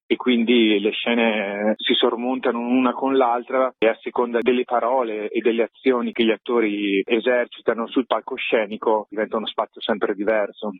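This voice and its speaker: A man's voice: mid-range voice, slightly rough. A man giving a radio interview as a theatre maker, reflective and articulate in long flowing sentences. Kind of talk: radio interview, explaining a stage production. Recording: phone-like narrow band, radio interview.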